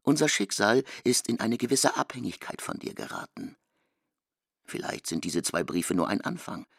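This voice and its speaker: An actor playing a brooding male storyteller in a literary radio play, deliberate and expressive in his delivery. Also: deep voice, gravelly, no room sound, studio quality, heavily acted.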